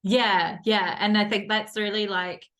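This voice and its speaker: Woman, podcast host, casual chat, frequent laughs, lots of fillers, mid pitch. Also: level tone, a little slow, a bit bright. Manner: chatty, laughs often, many "like" fillers.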